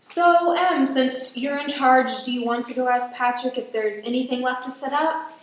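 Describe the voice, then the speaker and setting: Woman playing high-voiced teenage sister, echoey room. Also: normal speed, natural voice. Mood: reassuring. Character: supportive but sharp-tongued teenage sister. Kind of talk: amateur radio drama acting.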